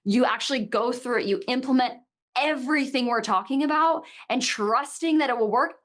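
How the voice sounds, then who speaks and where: A woman co-hosting a live online business workshop, direct and emphatic as she pitches a coaching program. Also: brisk, fairly bright tone. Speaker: workshop co-host. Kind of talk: live webinar sales pitch.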